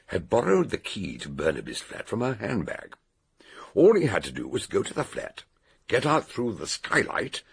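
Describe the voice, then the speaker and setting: An actor playing an eccentric older British detective in a radio drama, theatrically and deliberately laying out a murder solution in a gravelly, husky voice. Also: male voice, low voice, exaggerated voice.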